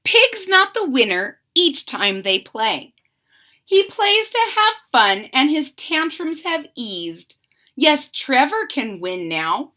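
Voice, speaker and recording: Animated, bright, high-pitched woman reading to children. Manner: animated, theatrical, drawn-out emphasis; coaxing, explaining asides to children. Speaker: children's story reader and channel host. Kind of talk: expressive picture-book read-aloud with questions to young listeners.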